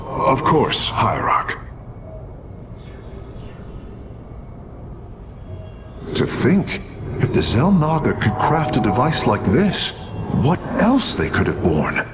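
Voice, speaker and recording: An actor playing a calm, deferential alien engineer in a video game, speaking in a measured, formal way. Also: male voice, medium pitch, music in the background. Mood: musing. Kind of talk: dramatic video game voice acting. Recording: clear ambient noise behind.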